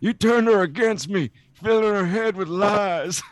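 A man voicing an angry old supervillain, ranting and menacing in a breathy, straining, out-of-breath voice in a comic-book reading. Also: high-pitched, a little slow, no echo. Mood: accusing fury.

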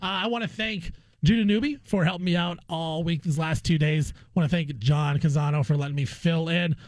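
A man's voice: a little high, fairly even intonation, a little fast, dry recording. A man hosting a sports talk radio show, speaking in an opinionated, conversational way. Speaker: guest radio host.

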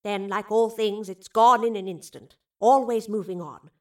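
A woman's voice: mid-range voice, moderate pace, a little mellow, recorded from a distance, natural voice. A woman voicing an eccentric woman professor who explains things lyrically and affectionately in an audio storybook. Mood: wondering.

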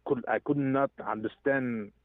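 A man's voice: mid-range voice, fairly even intonation, measured pace, no room sound. Hesitant man on a tinny phone line. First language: French. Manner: hesitant, searching for English words, many restarts. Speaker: guest singer. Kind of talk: interview over the phone. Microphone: telephone.

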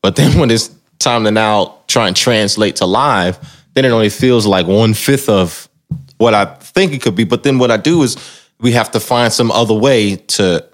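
Low-voiced man, reflective and conversational. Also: moderate pace. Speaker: musician and singer, interview guest. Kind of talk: casual conversational storytelling about their own career. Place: music recording studio.

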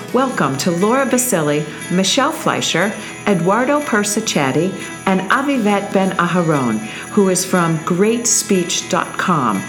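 Woman introducing a podcast over music. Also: mid-range voice. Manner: upbeat, spells out a web address slowly. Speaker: podcast host. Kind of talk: scripted show introduction.

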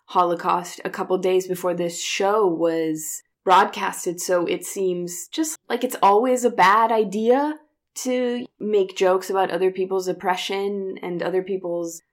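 A woman's voice: mid-range voice, steady tone, measured pace. A woman co-hosting a discussion podcast, explaining and criticizing in an earnest, conversational way. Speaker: podcast co-host.

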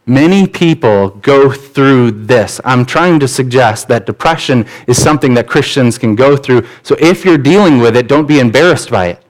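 A man's voice: mid-range voice, lively intonation, moderate pace, few pauses, low-quality recording. A man preaching a sermon as pastor, earnest and conversational, often restarting his phrases. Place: church.

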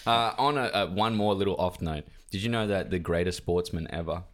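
A man's voice: sits low, brisk, slight background noise. A man co-hosting a podcast, talking casually about films and searching for his words as he goes. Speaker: podcast co-host. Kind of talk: casual film discussion.

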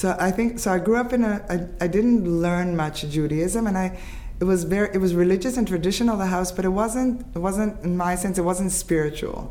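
Woman in her fifties, grew up in Israel, English first language, halting reflective speech, light ambient noise, interview guest. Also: mid-range voice, mellow. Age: 50s. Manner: halting, restarts and fillers, reflective. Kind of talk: conversational interview.

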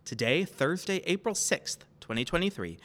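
A man's voice: fairly high pitch, very animated, moderate pace, fairly bright tone. A man hosting a podcast, reading scripted introductions and announcements to listeners. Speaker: podcast host.

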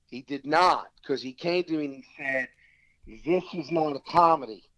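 A man from South Philadelphia with a noticeable local accent, recounting film-set anecdotes in a casual interview. His voice sits a little high for a man and he slips into quoted dialogue as he tells it. The recording is dry and close. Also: normal speed, no echo, rough recording. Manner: animated anecdote-telling, quoting others in dialogue. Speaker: actor guest.

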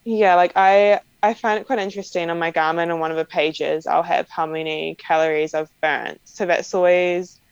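A woman's voice: fairly low pitch, steady tone, normal speed, few pauses, clean tone, no room sound. A young woman with a strong New Zealand accent answering interview questions casually, full of "like" fillers and light laughs. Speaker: professional cyclist guest. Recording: slightly dull lo-fi sound.

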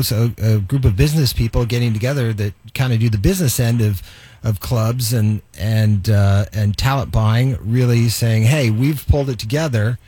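Husky male radio host, dry sound. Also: medium pitch, a little mellow, no echo. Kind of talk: conversational radio interview.

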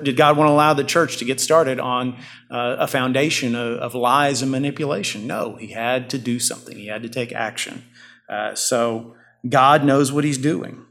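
A man's voice: medium pitch, a bit bright. A man preaching a sermon as pastor to his congregation, with an earnest, rising and falling delivery.

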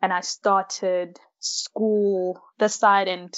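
A woman's voice: mid-range voice, little pitch movement, extremely slow, fairly bright tone. Casting: woman in her twenties, South African accent, chatty interviewee telling her story, slightly muffled sound. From Malawi, grew up in South Africa from about age six. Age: 20s. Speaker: interview guest, science postgraduate student.

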